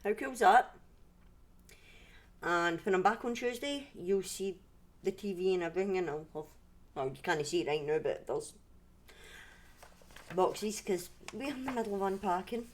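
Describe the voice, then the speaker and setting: A Scottish woman hosts a casual livestream from home, talking at a middling pitch. Her delivery is conversational and meandering, with sentences that break off and pick up again as she thinks aloud. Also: moderate pace, long pauses, slight background noise. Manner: rambling, self-interrupting, conversational. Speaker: livestream host and storyteller. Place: indoors at home.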